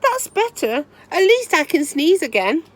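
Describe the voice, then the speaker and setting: A woman's voice: very high voice, very animated, measured pace, voice pushed up, heavily acted. A woman voicing a grumbling, frazzled little old lady from a storybook, plaintive, exclaiming and theatrical. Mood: relieved, delighted. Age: older. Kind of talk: storybook read-aloud character voice.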